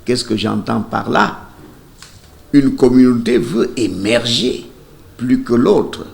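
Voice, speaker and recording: Male expert interviewee, unhurried professorial emphasis, gravelly fry, light background noise. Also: medium pitch. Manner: deliberate, emphatic, professorial phrasing. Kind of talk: explanatory interview commentary. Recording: faint ambient background noise.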